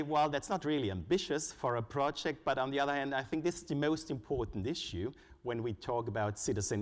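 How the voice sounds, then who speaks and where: A man with a German accent giving a conference presentation, measured and full of "uh" fillers. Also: mid-range voice. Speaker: conference speaker. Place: conference hall. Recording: long reverb from a large hall.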